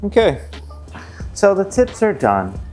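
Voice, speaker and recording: A man's voice: high voice, huge pitch swings, slow pace, darkish tone. A man explaining his fabrication work in an informal, slangy, joking vlog style. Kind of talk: casual vlog chat. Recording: music behind the voice. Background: music.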